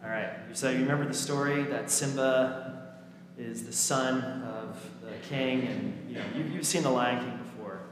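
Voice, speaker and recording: A male pastor speaks to his congregation in an informal, joking manner at a medium pitch. His voice is a little raspy. It rings with heavy echo and long reverb through a church, under loud background noise. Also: moderate pace. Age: 30s or 40s. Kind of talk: sermon and children's message in church. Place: inside a church during a service. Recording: echoey church hall, noisy, long reverb.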